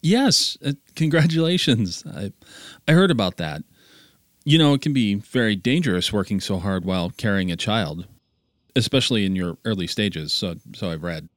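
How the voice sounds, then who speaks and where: Actor as a polite, lilting gentleman suitor. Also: lowish voice, lively intonation, normal speed, no echo, clean studio sound, close to an everyday voice. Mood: awkward. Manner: hesitant, courteous, stammering. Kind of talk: dramatic acting for an animated storyboard film.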